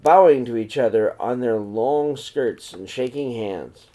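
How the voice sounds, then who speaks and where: A man's voice: mid-range voice, measured pace, warm tone, close to an everyday voice. A man voicing a contented, wondering young daughter from a picture book in a simple, earnest manner.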